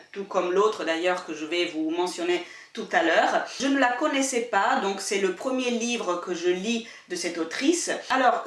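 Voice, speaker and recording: A woman speaks to camera from a little way off the mic, and the room rings around her voice. She explains things carefully, coming back to rephrase her points, at an ordinary pitch for a woman. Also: roomy sound, distant mic. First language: Italian. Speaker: book and culture YouTuber. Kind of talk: casual talk to camera.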